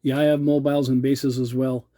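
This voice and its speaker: A middle-aged man with a very dark, mellow voice and flat, even intonation. He rambles casually and hesitantly in radio-hobbyist lingo. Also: mid-range voice, a little fast. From Canada.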